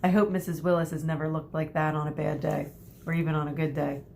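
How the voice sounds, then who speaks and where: Female teacher, lowish voice, encouraging and chatty, speaking directly to children for a read-aloud; noisy home recording. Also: little pitch movement, quick pace, vocal fry, darkish tone. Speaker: primary school teacher. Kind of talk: chatty address to pupils and storybook read-aloud.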